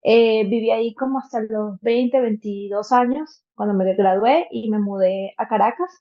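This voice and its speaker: A woman's voice: on the high side, normal speed, highs cut off. A Venezuelan woman in her thirties, a podcast guest telling a personal story earnestly, with many fillers and restarts. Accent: Venezuelan Spanish.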